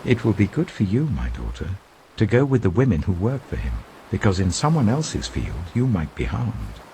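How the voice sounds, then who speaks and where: Casting: male reader as older motherly woman, devout, earnest, approving, with animated intonation for an audio storybook. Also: sits low, normal speed, a little hiss or hum, full character voice, low-quality recording. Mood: advising.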